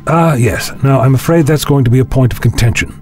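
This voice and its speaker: A man acting a learned, calmly authoritative time-travelling scientist in a science fiction audio drama, speaking in measured, formal phrases. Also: mid-range voice, faint music behind, close to an everyday voice. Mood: calm, explanatory. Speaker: lead actor in a fan audio drama.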